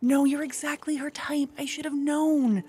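A woman voicing a jealous, doting young witch girlfriend in an intimate ASMR roleplay, breathy and often whispering, with dramatic delivery. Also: sits high, steady tone, normal speed, warm tone, close to an everyday voice. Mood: shocked, upset. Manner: dramatic, swinging from cooing to venting. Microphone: close ASMR microphone.

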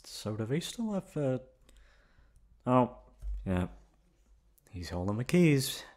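A young man playing a gruff but affectionate, protective delinquent student in an intimate ASMR roleplay, talking in a casual, foul-mouthed way. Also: medium pitch, very animated, slow, a little mellow. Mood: wary, puzzled.

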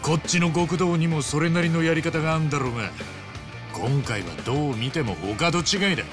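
A man voicing a loyal, big-hearted middle-aged ex-yakuza hero with blunt, rough street speech in a video game. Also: on the high side, fairly quick, over music, close to an everyday voice. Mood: defiant, protective. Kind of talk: Japanese video game voice acting.

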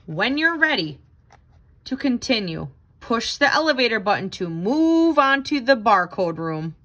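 A woman reading a children's picture book aloud in an expressive, dramatic, exclaiming way. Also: fairly high pitch, unhurried. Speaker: storybook reader.

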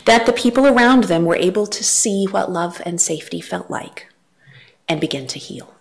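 A woman's voice: lowish voice, moderate pace, creaky. Earnest woman speaking in church. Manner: earnest, animated gestures, varied emphasis. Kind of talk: church talk with storytelling. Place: church sanctuary at a lectern. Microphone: headset mic.

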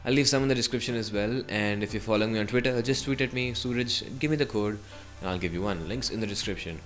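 Male tech presenter, lowish register, scripted review narration over a faint music bed. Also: fast, a bit bright. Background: background music.

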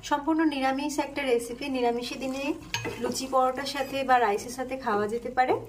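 A woman with a Bengali accent and a high voice plainly explains each step of a home recipe. She speaks calmly while she cooks at the stove. Faint frying and stirring sounds are heard behind her. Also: fast, a little hiss or hum. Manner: calm, plain instructional tone, listing ingredients. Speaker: cooking channel host.

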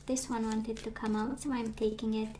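A woman giving a casual tarot reading, chatty and reassuring, her voice strained as she loses it and clears her throat. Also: on the high side, flat delivery, moderate pace, dark tone, slight background noise. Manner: chatty, reassuring, many fillers and restarts. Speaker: tarot reader. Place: indoors at a table of tarot decks.

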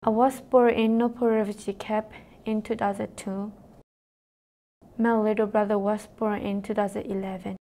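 A woman's voice: medium pitch, moderate pace. Earnest teenage girl with a Karen accent. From Thailand. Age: teens. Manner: steady, earnest reading. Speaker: narrator. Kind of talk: scripted personal narration. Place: voice-over.

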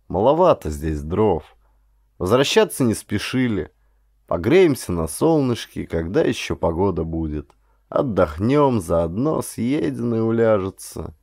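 A man reading scripted adventure narration in an expressive, dramatized storytelling manner. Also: fairly low pitch, a little slow, no room sound, studio quality. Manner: expressive, acts out dialogue. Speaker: audiobook narrator, actor.

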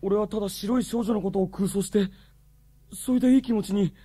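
A man's voice: high-pitched, steady tone, brisk, dark tone, husky, no echo. An actor playing a frightened, stammering teenage judo club boy in a radio drama. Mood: panicked.

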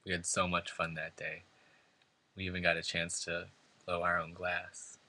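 A man's voice: deep voice, completely flat delivery, moderate pace, pushed and bright. A man from New York State reading a prepared eulogy at a funeral, earnest and emotional. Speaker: son giving a eulogy. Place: funeral service before family and friends.

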